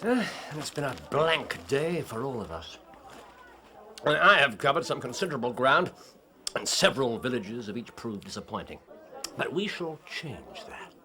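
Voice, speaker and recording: A man's voice: mid-range voice, sing-song, normal speed, bright, forceful tone. A man playing a keen, confident detective in a period radio drama, with theatrical, formal old-fashioned phrasing. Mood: determined.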